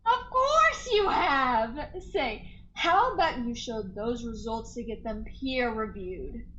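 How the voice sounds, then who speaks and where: A woman's voice: on the high side, animated, slow, pushed and bright, full character voice. A woman playing an outspoken, confrontational scientist with a sarcastic manner in a radio play. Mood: indignant. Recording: muffled, noisy home-style recording.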